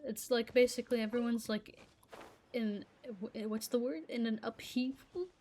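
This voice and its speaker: A woman's voice: a little high, steady tone, moderate pace, warm tone. A woman whose first language is Arabic, ranting casually to her viewers in a rambling, exasperated way that keeps breaking off mid-sentence. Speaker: gaming vlogger. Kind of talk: casual rant to camera.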